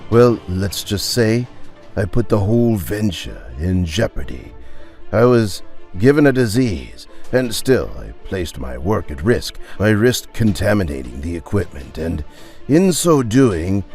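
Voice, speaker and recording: Man voicing a solemn, deep-voiced ghostly knight. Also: a little mellow, exaggerated voice. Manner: measured, courteous, formal. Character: tall, solemn half-ghost former scientist, knightly and reflective.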